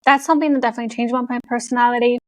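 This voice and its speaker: Chatty Canadian woman, fairly high voice. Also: steady tone, racing, dry recording. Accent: Canadian English with an international European tinge. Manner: chatty, laughs often, many likes and you knows. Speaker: guest, content creator. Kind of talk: casual interview chat.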